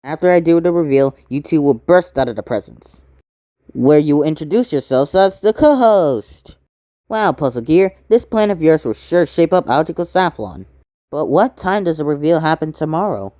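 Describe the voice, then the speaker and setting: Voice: mid-range voice, lively intonation, normal speed, mellow, no room sound, studio quality. A person doing amateur cartoon voice acting in a theatrical way, switching between characters.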